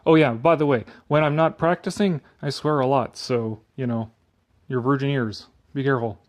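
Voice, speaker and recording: A man's voice: mid-range voice, steady tone, normal speed. A man giving a dramatic reading with sarcastic, exasperated asides and casual swearing, shifting between declaiming and offhand muttering. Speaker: commentator reading an article aloud.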